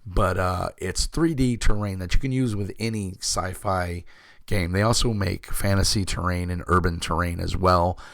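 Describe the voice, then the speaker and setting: Host, fifties, man; very deep gravelly voice, hoarse, rambling casual chat. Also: fairly even intonation, moderate pace, a bit bright, no echo, studio quality. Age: 50s. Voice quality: rough, gravelly voice. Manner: rambling, many fillers and throat clearing. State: hoarse, recovering from illness. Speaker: tabletop game channel host.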